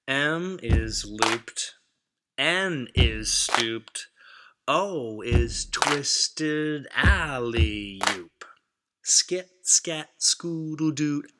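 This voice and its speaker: A man's voice: on the high side, background noise. A man doing a playful, rhythmic read-aloud of a picture book for children. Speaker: teacher reading a children's book.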